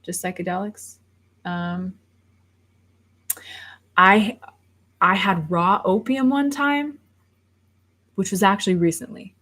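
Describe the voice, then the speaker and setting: A woman's voice: medium pitch, slow pace, pauses often, a bit bright. A woman in her thirties, rambling casually on a livestream and reading viewer comments aloud before answering them. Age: 30s. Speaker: livestream host.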